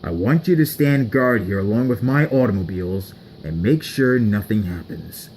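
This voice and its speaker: A man's voice: lowish voice, lively intonation, normal speed, darkish tone, slight background noise, natural voice. A man voicing a pompous, imperious, vain villain boss in a homemade story. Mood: smug, commanding. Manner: pompous, commanding.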